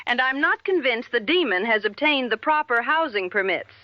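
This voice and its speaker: A woman playing a sensible, deadpan co-host on a 1960s radio show with a dull vintage sound. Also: a little high, moderate pace, dry recording, lightly acted. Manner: dry, deadpan.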